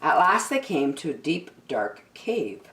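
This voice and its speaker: Slow, expressive woman storyteller in echoey room. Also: deep voice. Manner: expressive, emphatic, drawn-out key words. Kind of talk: animated children's storybook reading.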